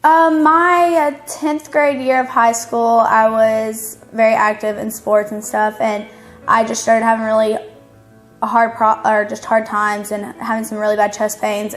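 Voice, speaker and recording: A woman's voice: medium pitch, fairly bright tone. A nineteen-year-old woman telling her own story to camera in a casual, youthful way. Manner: casual, youthful, hedging with kinda. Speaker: interviewee.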